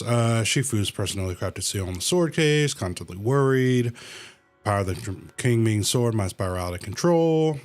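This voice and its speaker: A man's voice: mid-range voice, darkish tone. A man livestreaming a game with casual, playful reaction commentary, slangy and emphatically repeating his phrases.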